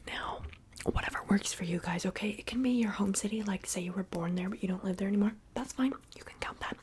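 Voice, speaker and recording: A young woman whispering close to the mic in an ASMR video, repeating words slowly and chatting playfully to her viewers. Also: medium pitch, background noise. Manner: whispered, soft and rambling, repeating words over and over. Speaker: ASMR creator.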